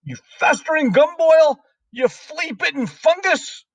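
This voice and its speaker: A man voicing a furious, tyrannical older woman headmistress in a storybook, shrill and bullying as she shouts. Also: sing-song, normal speed, dry recording, far from the mic, exaggerated voice. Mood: furious, insulting.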